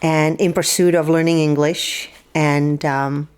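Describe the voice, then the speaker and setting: A middle-aged woman from the Republic, a podcast guest telling her story in a measured, steady way with soft trailing endings. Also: low voice, clear, resonant tone. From Czech Republic. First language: Czech. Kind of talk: podcast interview.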